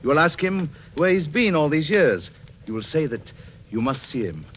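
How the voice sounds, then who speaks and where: Suave, menacing husband, old radio suspense. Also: male voice, a little high, very animated, normal speed, a little hiss or hum. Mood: cold, menacing. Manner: suave, commanding, sardonic. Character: cruel, menacing, controlling husband, coldly mocking his wife. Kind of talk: 1940s radio suspense acting. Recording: old radio broadcast with music behind.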